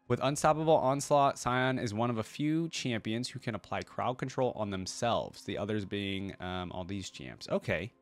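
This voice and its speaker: A man's voice: fairly low pitch, no echo. A man hosting a reaction video, commenting casually and thinking aloud to his viewers, sometimes reading bits out. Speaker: host of game reaction videos.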